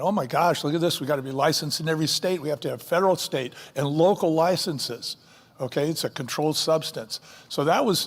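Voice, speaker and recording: An older man, a company co-founder, answering interview questions in a folksy, animated way and acting out quotes. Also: on the high side. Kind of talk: fireside chat interview answers. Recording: long hall reverb.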